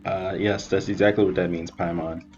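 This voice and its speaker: A man's voice: low-pitched, flat delivery, fairly quick. A young man giving casual let's play commentary as host of a gaming video. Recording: dull, band-limited mic, faint game music. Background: game music.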